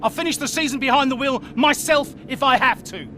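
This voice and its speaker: A man playing a middle-aged racing team boss in a game cutscene, furious and scolding with emphatic delivery. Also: very high-pitched. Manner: scolding, slow emphatic delivery rising to shouts. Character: furious male racing team boss berating his drivers. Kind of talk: dramatic cutscene acting. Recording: clear background noise.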